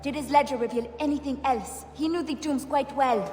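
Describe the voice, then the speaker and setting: A woman's voice: high-pitched, steady tone, a little fast, a little mellow, soft background music, lightly acted. A woman playing a guarded, formal priestess of ancient Egypt in a video game cutscene. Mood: earnest. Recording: echoey temple-like hall, clear background noise.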